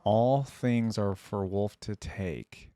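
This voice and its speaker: A man's voice: fairly low pitch, takes it slowly, warm tone, clear, resonant tone. A man hosting a casual reaction video, commenting on game voice lines in a musing way and repeating phrases to himself. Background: music.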